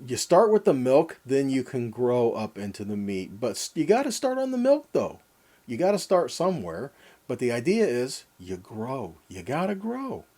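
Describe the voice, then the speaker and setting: Male Bible teacher, mid-pitched, lively intonation, soft-edged tone, emphatic scripture reading, rhetorical questions, sermon delivery. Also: moderate pace. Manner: emphatic, dramatic stresses, rhetorical questions to listeners. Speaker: Bible teacher giving a weekly Torah portion lesson.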